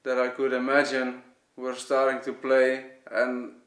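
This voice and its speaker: A man with a Dutch accent, a native Dutch speaker, giving an earnest, unscripted personal testimony, often halting and searching for words. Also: mid-range voice, completely flat delivery, fairly bright tone. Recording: echoey, roomy sound.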